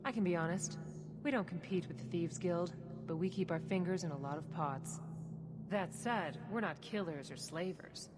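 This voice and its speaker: Lady doing crime boss woman, lowish voice, composed n wry, all business, game cutscene, music loud behind. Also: moderate pace, natural voice. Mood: cool, appraising. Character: shrewd, cool, composed female smuggler boss. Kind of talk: video game dialogue acting.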